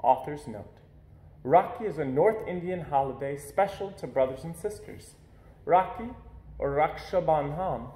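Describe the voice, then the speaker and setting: A man reading a children's picture book aloud in an expressive storytelling style. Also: on the high side, reverberant, poor audio.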